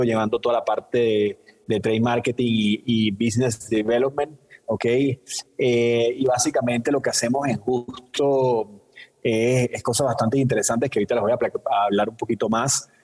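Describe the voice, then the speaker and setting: Husky Venezuelan man in a reverberant room. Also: mid-range voice, steady tone, normal speed, a little mellow. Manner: thoughtful, with many fillers and restarts. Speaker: guest. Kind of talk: conversational interview answers.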